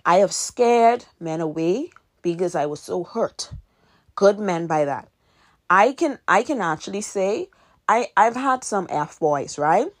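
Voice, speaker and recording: Woman, 20s, podcast host, earnest and repetitive, casual advice talk, mid pitch. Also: animated, unhurried. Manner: earnest, repeats key points for emphasis.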